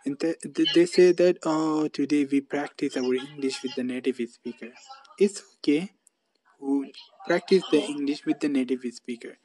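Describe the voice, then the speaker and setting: A man's voice: on the high side, moderate pace, a little mellow, slight background noise, low-quality recording. A man hosting a casual English practice video call, speaking simple English and repeating his phrases. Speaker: YouTube channel host.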